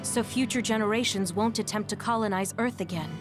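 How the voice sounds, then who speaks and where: A woman's voice: mid-range voice, brisk, music in the background, lightly acted. A woman voicing a composed, precise explainer in an English video game dub, speaking in an expository manner. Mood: explanatory.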